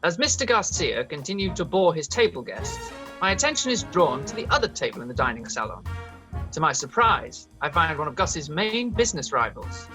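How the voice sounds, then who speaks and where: A woman's voice: sits low, very animated, moderate pace, music in the background, low-quality recording. A woman playing a cool, sardonic sleuth who narrates a comedy radio play, polite and wry. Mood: wry, confiding.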